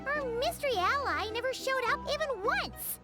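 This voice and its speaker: A woman voicing a small, excitable fairy companion girl in a video game, chatty and squeaky. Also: moderate pace. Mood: excitable, indignant. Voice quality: squeaky, childlike. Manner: exclamatory, refers to self in third person. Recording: game music behind.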